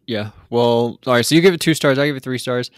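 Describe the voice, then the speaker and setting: Animated man recapping an episode, dry sound. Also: mid-range voice, fast, no echo. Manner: animated, laughs easily, mocking asides. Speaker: podcast co-host. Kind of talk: casual episode recap chat.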